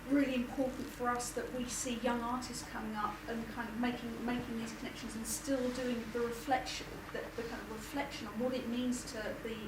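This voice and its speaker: Woman panelist, earnest and searching, slightly high voice, distant noisy hall recording. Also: level tone, brisk, hardly any pauses. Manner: earnest, repeats words while thinking aloud. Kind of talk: panel discussion. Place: lecture hall at an arts venue.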